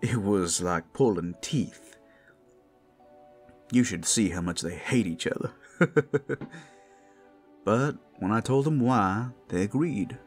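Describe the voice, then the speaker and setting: A man playing a tender, protective werewolf lover in an intimate ASMR roleplay, speaking in halting, heartfelt phrases full of endearments. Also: lowish voice, slightly rough, faint music behind. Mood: warm, teasing. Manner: soft endearments, halting heartfelt delivery, teasing asides. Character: tender, devoted, protective male werewolf lover working at a brothel.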